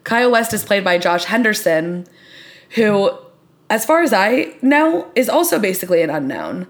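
A woman's voice: medium pitch, very animated, moderate pace. A woman speaks as a podcast co-host in a casual review chat. She is conversational and freely opinionated, with a mid-range voice.

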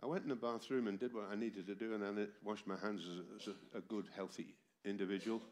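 A very deep-voiced man in his seventies preaches into a microphone in a church hall, his voice worn by a summer cold. He speaks emphatically and keeps turning to the congregation with rhetorical questions. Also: fairly even intonation, fast, a little mellow, a little hiss or hum. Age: 70s. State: tired voice from a heavy cold. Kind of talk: sermon. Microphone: amplified microphone.

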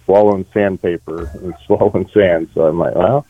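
A man's voice: deep voice, steady tone, moderate pace, no echo. Male caller, laid-back chatty, heard over a tinny phone line.

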